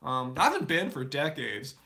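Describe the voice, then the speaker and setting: Bright-voiced man hosting a casual live Q&A. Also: mid-range voice, big pitch swings, normal speed. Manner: rambling, answers viewer chat questions with many fillers and restarts. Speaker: live stream host. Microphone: live stream setup.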